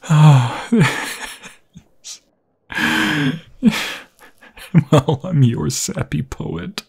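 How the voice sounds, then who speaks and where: A man playing a tender young vampire fiancé in an intimate ASMR roleplay, with a breathy, husky, often whispering voice and halting, sentimental, poetic phrasing. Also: fairly high pitch, big pitch swings, exaggerated voice. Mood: adoring, emotional.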